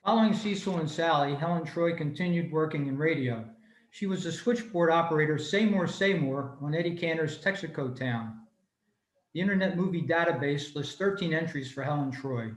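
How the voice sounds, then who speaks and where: Male club presenter, slightly high-pitched, reading prepared notes on a video call from an echoey room. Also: normal speed. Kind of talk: scripted informational talk, read aloud. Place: room, remote video meeting.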